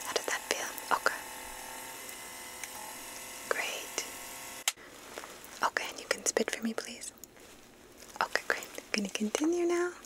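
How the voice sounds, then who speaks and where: A woman whispering breathily and gently, slow and reassuring, close to the microphone, in an ASMR roleplay as a dentist. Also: fairly high pitch, lively intonation, low-quality recording.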